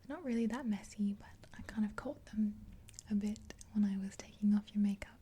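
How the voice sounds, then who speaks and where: A woman in her twenties doing a gentle ASMR roleplay in a soft, unhurried, breathy whisper, close to the mic. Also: mid-range voice. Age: 20s. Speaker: ASMR video creator. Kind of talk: ASMR roleplay, whispered makeover with rambling chat. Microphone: close to the mic, facing camera.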